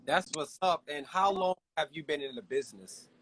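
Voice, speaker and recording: A man's voice: on the high side, expressive, normal speed, fairly bright tone, husky, dry recording, poor audio. Friendly, slangy male interview host. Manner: friendly, hype, uses slang. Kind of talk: casual interview.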